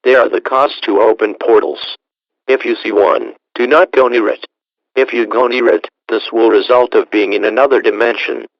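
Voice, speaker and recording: A man's voice: mid-range voice, fairly even intonation, moderate pace, no room sound, close to an everyday voice. Man playing an emergency alert announcer, dry sound. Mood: official. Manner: formal, official. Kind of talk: scripted emergency alert broadcast. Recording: dull, dry lo-fi audio.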